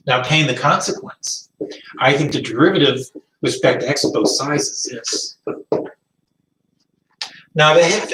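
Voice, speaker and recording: A man's voice: fairly high pitch, fairly bright tone, a little hiss or hum. Man lecturing math in an echoey room. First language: French. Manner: thinks aloud, restarts and rephrases. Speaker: math teacher. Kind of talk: recorded classroom lecture. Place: classroom. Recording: echoey room, distant mic.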